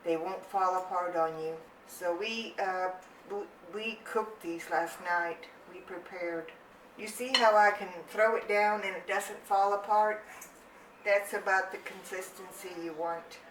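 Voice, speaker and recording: A woman's voice: medium pitch, fairly even intonation, a little slow, fairly bright tone, a little hiss or hum. An older woman from Germany hosting a home cooking channel, explaining a family recipe step by step in a chatty, homey way. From Germany, Rhineland-Palatinate. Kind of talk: casual cooking demonstration. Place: home kitchen. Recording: echoey room, voice from a distance.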